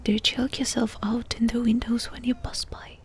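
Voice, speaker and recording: A woman doing a soft-spoken ASMR roleplay, asking questions in an intimate, unhurried whisper. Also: a little high, level tone, husky.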